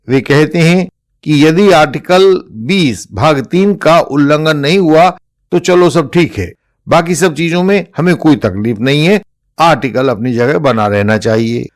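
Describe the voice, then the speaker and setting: Indian male legal explainer, slightly raised pitch, measured formal delivery. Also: animated. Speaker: presenter explaining court judgments.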